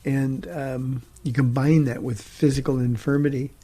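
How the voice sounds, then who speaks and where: A middle-aged man, a pastor hosting a talk show, teaching from the Bible in an earnest, halting way with frequent restarts. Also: medium pitch, steady tone, dark tone, slightly rough.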